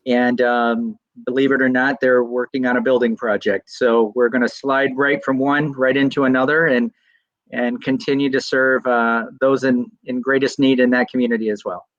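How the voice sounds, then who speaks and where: A man's voice: mid-range voice, little pitch movement, moderate pace, no echo, dull audio. A Salvation Army officer answering interview questions over a video call, earnest and explanatory, often restarting his words.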